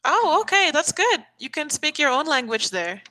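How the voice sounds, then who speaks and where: A woman's voice: high voice, sing-song, normal speed, sharp tone. A woman from the Bahamas working as an online English tutor, chatting casually and laughing often on a video call.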